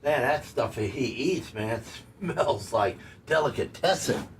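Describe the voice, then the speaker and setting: A man's voice: mid-range voice, animated, a little slow. Male, casual joshing banter, slangy delivery, distant mic, faint background noise. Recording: noisy room, off the mic.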